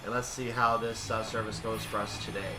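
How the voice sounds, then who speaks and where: A man's voice: fairly low pitch, level tone, a little fast, music in the background, poor audio. A man doing casual, explanatory gameplay commentary, thinking aloud as he goes. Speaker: gaming video creator narrating train simulator play.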